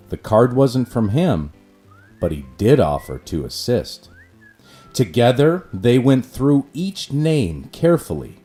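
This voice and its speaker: A man narrating a rhyming children's storybook in an expressive, measured way. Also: mid-range voice.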